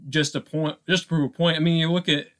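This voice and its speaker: A man co-hosting a podcast, giving casual film commentary in a halting, thoughtful, wordy way. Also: fairly high pitch, little pitch movement, fairly bright tone. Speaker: podcast co-host.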